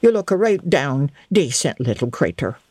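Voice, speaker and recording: A woman's voice: low-pitched, sing-song, normal speed, a little mellow, breathy edge, no room sound, clean studio sound, pitched below the performer's own voice, exaggerated voice. A woman voicing a plain-spoken, homely old servant woman with a Northern English dialect, in a dramatic audiobook reading. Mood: contrite.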